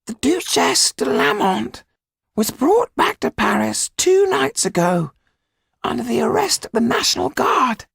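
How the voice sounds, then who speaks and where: A man voicing a grave, deliberate informant in an audiobook, with a high, raspy, creaky voice. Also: big pitch swings, fairly bright tone, no echo, studio quality, exaggerated voice. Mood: grave, informing. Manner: slow, deliberate delivery.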